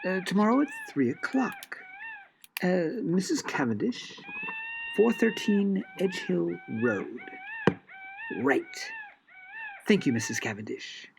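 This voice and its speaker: A man's voice: high voice, wildly swooping pitch, slow pace, pauses often, background noise, heavily acted. A man as a wildly swooping pet shop owner. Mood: eagerly obliging. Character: eccentric, obliging exotic pet shop owner. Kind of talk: comedic audio drama phone acting.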